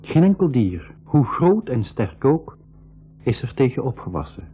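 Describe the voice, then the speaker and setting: A man's voice: mid-range voice, very animated, a little slow, soft background music. An actor playing a young brother who tells his adventure in a radio play. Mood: measured storytelling. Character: young brother adventurer, excitable, telling his own story. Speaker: actor and narrator in a school radio drama. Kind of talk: Dutch-language 1980s radio play acting and storytelling. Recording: dull old radio recording.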